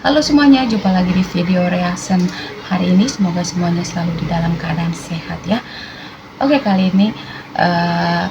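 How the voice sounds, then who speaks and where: An Indonesian woman hosting a reaction video, talking in a relaxed, drawn-out way and tagging her sentences with "gitu ya". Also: lowish voice, vocal fry, background noise. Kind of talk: casual reaction commentary.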